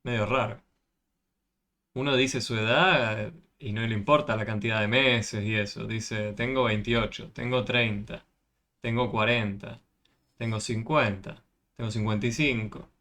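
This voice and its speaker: A man's voice: on the low side, fairly even intonation, a little slow, sharp tone. A man tutoring a math class over a video call, patiently explaining and often checking with questions.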